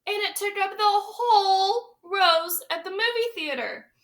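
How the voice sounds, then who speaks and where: A woman's voice: extremely high pitch, a little slow, fairly bright tone. A woman teacher reading a picture book aloud to young children, stopping to ask them questions and explain words.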